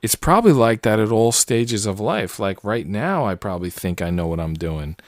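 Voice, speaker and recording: Man in his late 40s, low voice, dry close sound, rambling puzzle-solving chat. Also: moderate pace, dry recording. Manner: rambling, relaxed, many fillers and tangents. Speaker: puzzle channel host. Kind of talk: casual solo commentary while solving a puzzle.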